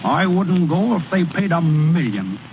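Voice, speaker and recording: Cocky, high-voiced folksy ballplayer. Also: male voice, sing-song, measured pace. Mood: defiant, boastful. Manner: boastful, rustic ungrammatical drawl. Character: cocky, folksy small-town ballplayer, big eater, stubborn. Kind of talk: 1930s-40s radio comedy acting. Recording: dull, noisy vintage radio recording.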